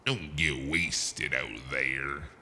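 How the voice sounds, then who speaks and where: An actor playing a calm, businesslike underworld henchman in a noir audio drama, measured and faintly menacing. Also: rumbling low, creaky, slight background noise, heavily acted. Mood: calm menace.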